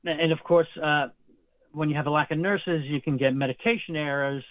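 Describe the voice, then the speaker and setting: A man's voice: a little high, steady tone, normal speed, no echo. A man in an interview, explaining nursing and medical terms at length, as a healthcare guest. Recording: tinny phone line, dry.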